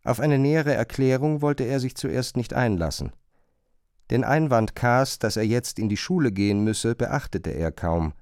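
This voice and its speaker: Guy narrating a literary radio drama, mid pitch, dry studio sound. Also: expressive, moderate pace, a little mellow, smooth, no room sound.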